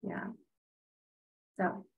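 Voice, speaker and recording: A woman from Tajikistan, sharing her teaching exchange experience in an earnest, conversational way with many fillers over a video call. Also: very slow. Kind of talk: online panel presentation with slides.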